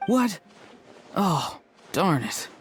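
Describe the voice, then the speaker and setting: A man's voice: sits high, very animated, drawn-out words, faint music behind, full character voice. An actor voicing an earnest, anxious young tribesman in a video game, coaxing and slightly dramatic. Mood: worried. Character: earnest, scheming, anxious young tribesman who adores his granny. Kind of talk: video game character acting.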